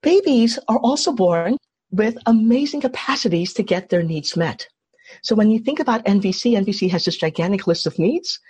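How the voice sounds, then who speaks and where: A woman's voice: medium pitch, lively intonation, normal speed, darkish tone, husky. A woman from Trinidad leading an online workshop, putting questions to the group and explaining in an engaging way over a video call. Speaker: workshop facilitator.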